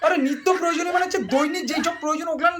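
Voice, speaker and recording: A man's voice: very high voice, fairly even intonation, racing. A man doing domestic comedy banter as a teasing, complaining husband. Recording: very noisy home-video sound.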